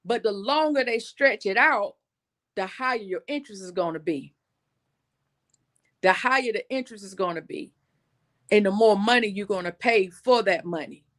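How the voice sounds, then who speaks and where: A woman in her forties talks straight to her viewers in a relaxed livestream. She calls them y'all and circles back over her points. Her voice sits at an everyday middle pitch. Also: moderate pace, long pauses. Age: 40s. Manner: conversational, addresses viewers as y'all, repeats points for emphasis. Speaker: livestream host. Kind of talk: casual explainer livestream.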